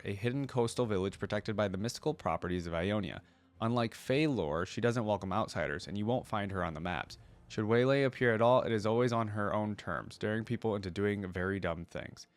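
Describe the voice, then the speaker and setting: A man hosting a reaction video, reading lore aloud and adding casual commentary as he thinks aloud. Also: fairly low pitch.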